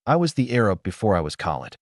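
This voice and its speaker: A man reading the lines of a composed billionaire mentor who speaks calmly and with dignity. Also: fairly low pitch, quick pace, dry recording, studio quality.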